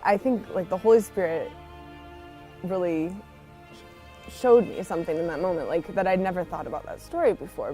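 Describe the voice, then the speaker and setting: This is a woman with a mellow, slightly low voice. She speaks in an earnest, informal and conversational way, like a missionary teacher sharing a personal testimony. Faint music plays behind her as she talks. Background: background music.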